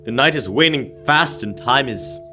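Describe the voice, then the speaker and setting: A young man playing a frightened, repentant old miser in a radio drama, halting and theatrical as he pleads. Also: mid-range voice, expressive, pushed and bright, soft background music. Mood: fearful, halting.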